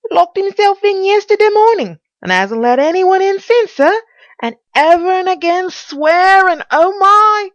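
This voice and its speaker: A woman's voice: very high-pitched, animated, measured pace, no room sound, muffled recording, pitched above the performer's own voice. A woman voicing a worried, deferential female servant in a storybook, gossiping confidentially in colloquial phrases. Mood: confiding.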